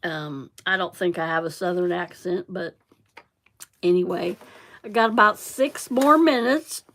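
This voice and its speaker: A middle-aged woman with a Central Illinois accent rambles through a casual craft livestream at a slow, unhurried pace. She is chatty, drifts off topic, and now and then mutters to herself as she works. Her pitch sits in a middle range. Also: fairly bright tone. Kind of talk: casual chat while crafting.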